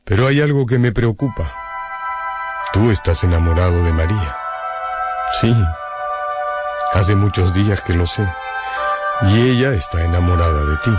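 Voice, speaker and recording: A man's voice: sits low, expressive, moderate pace, long pauses, loud music behind the voice. An actor playing a grave, caring, anxious father in a radio drama. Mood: grave, concerned.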